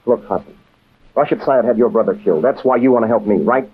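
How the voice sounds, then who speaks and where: An actor playing a tough American adventurer in an old radio drama, blunt and slangy, both in dialogue and in narration. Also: male voice, medium pitch, quick pace, lightly acted. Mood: probing, urgent. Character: tough wisecracking American adventurer hero, narrating his tale. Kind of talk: 1940s-50s radio adventure acting. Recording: tinny noisy old radio recording.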